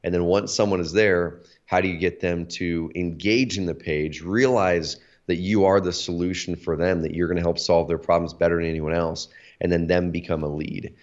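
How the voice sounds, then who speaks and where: A man in his thirties, hoarse from allergies, talking energetically as a guest on a video call interview. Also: low-pitched, moderate pace. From Oregon, USA. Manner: energetic, talkative, lots of fillers. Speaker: guest, company CEO. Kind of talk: casual interview. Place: home office, indoors. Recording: slightly dull lo-fi video call audio.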